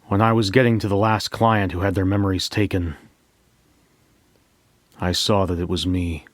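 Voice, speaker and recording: A man's voice: deep voice, steady tone, normal speed, no room sound, natural voice. An actor playing a lovelorn, wounded man who confesses his loss in a dramatic first-person audio story monologue. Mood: wistful, puzzled.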